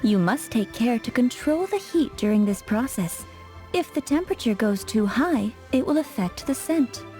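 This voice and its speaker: Actress as a flirtatious perfumer, high mellow voice. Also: female voice, animated, normal speed, faint music behind, close to an everyday voice. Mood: poised, instructive. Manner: coquettish, instructive, poised. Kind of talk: English video game voice acting.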